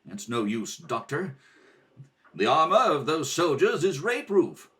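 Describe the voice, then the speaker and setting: A man's voice: fairly high pitch, very animated, measured pace, pushed and bright, full character voice. A man acting a brave, noble rebel prince in a radio serial, speaking earnestly and formally. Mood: dismayed.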